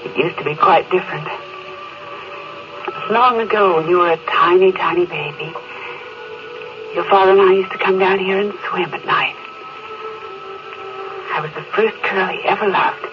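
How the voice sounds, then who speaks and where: An actress playing a tender, sad mother, gentle and evasive, in a 1950s radio drama. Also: on the low side, normal speed, pauses often, close to an everyday voice. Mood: wistful sadness. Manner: gentle, wistful. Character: wistful mother, tender but sad, evasive with her child. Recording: muffled vintage radio recording, music underneath.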